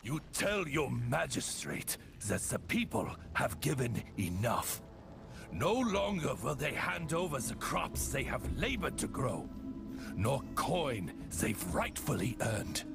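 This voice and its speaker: An actor playing a defiant, righteous rebel leader in a video game, speaking with impassioned, formal oratory. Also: male voice, mid-range voice, very animated, takes it slowly, vocal fry, heavily acted. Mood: stern. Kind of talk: dramatic game voice acting. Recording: game music behind the voice.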